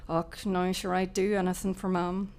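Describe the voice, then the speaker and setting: A woman's voice: lowish voice, level tone, normal speed, a little mellow, close to an everyday voice. Woman playing a falsely cheery young Northern Irish woman. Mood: forced cheer. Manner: forced politeness. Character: young working woman, outwardly polite, inwardly seething.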